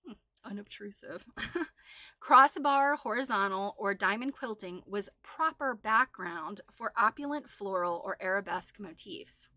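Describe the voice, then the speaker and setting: Bright-voiced, chatty woman livestreamer. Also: medium pitch, expressive, measured pace, no room sound. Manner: chatty, enthusiastic, exclaiming. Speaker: livestream host. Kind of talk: casual livestream chat with reading aloud from a quilting book.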